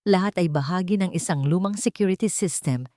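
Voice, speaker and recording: A woman with a Filipino accent reads a scripted dramatic story in a measured, deliberate way, pausing for effect. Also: on the low side, dark tone, dry recording, studio quality. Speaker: story narrator.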